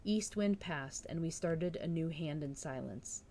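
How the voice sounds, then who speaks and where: A woman streamer chatting casually and reading a novel aloud, with an excitable, bubbly manner. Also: low voice, unhurried, a little hiss or hum. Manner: excitable, bubbly, breaking into laughter. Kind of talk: casual livestream chat and book narration.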